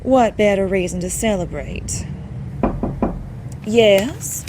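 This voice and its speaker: A woman's voice: medium pitch, animated, takes it slowly, background noise. A woman voicing a genteel, whimsical cartoon speakeasy owner in a coy, theatrical manner. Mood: whimsical, gracious. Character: genteel, whimsical female cat speakeasy owner, languidly charming.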